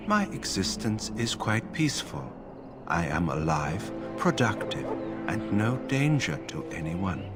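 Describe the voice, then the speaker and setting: A man playing an emotionless mage in a fantasy game, speaking in a formal, detached, measured manner. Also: low-pitched. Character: emotionless branded male mage, calm enchanter stripped of feeling. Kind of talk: video game dialogue. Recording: game music loud behind the voice.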